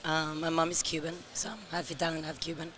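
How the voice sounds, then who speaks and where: Hoarse, slow-talking woman. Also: low-pitched, level tone, a bit bright, slight background noise. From Europe. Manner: speaks slowly and measured. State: hoarse, tired voice. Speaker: interview guest, company founder. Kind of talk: casual interview.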